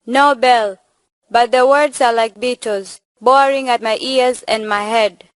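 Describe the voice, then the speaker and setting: A woman's voice: fairly high pitch, fairly even intonation, unhurried, smooth, dry recording, lightly acted, poor audio. A young woman playing a naive, trusting village girl in a stage play, speaking plainly and earnestly. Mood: respectful, unsure. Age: young adult. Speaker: student actor. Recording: dry voice with faint music behind.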